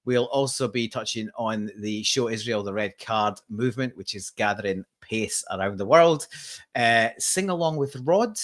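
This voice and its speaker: A man's voice: medium pitch, fairly bright tone. A man in his forties hosting a fan podcast over a video call, chatty and jokey, with plenty of ums. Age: 40s. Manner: chatty, jokey, lots of fillers. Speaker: podcast host. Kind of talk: casual chat.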